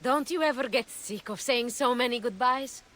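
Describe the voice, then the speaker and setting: A woman's voice: fairly high pitch, moderate pace, a bit bright, lightly acted. A woman playing a fierce, weary leader who protects her homeland, in a dramatic video game performance. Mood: solemn. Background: prominent music.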